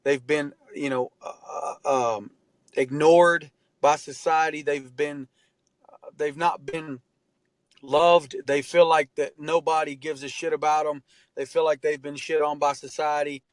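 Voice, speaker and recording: A man's voice: on the high side, fairly even intonation, measured pace, no room sound. A man around forty, a guest in an interview, telling his story in an earnest, heartfelt way and often saying "man". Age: 40s. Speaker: interview guest, artist and tattoo artist. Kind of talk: candid conversational interview.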